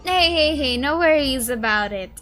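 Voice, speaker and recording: A woman streaming a game with casual, playful commentary, thinking out loud. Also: high voice, animated, normal speed, soft background music. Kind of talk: casual live gameplay commentary.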